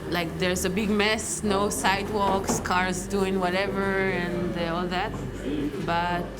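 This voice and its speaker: A woman from Israel, talking thoughtfully in a documentary interview, often pausing to search for words. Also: medium pitch, little pitch movement, very noisy. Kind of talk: reflective personal testimony.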